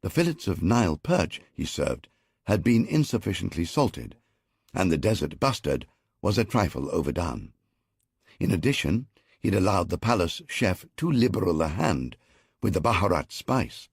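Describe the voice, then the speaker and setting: A male audiobook narrator with a low, gravelly, slightly husky voice, reading in the first person. His intonation rises and falls in a lively way, carrying a dry, wry tone through the story. The recording is close and dry, with no room sound. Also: normal speed, no echo.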